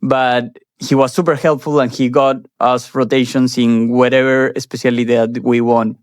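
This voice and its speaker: A young man from Peru speaks English in an earnest, measured way, now and then restarting a phrase. His pitch sits in the middle of the male range. The sound is dry and close. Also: little pitch movement, no room sound. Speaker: guest, surgery resident. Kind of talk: interview answers.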